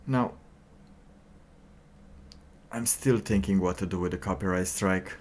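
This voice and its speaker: Male streamer, deep register, unhurried and halting, thinking aloud in loose, trailing phrases. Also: darkish tone. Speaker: livestreamer. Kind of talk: casual livestream monologue.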